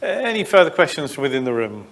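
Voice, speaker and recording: A man's voice: a little high, big pitch swings, fast, a bit bright. A man moderating an informal industry discussion, talking conversationally and feeling his way through his phrasing. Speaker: panel moderator.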